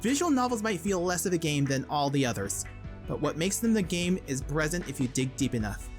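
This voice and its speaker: A woman's voice: sits low, big pitch swings, brisk. A woman reads a scripted video essay about games in a playful, informal way. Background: background music.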